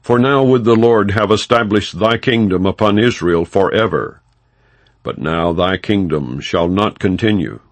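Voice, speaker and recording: A man's voice: sits low, no room sound. A man narrating scripture as an audiobook, reading in a solemn, formal, non-dramatized manner.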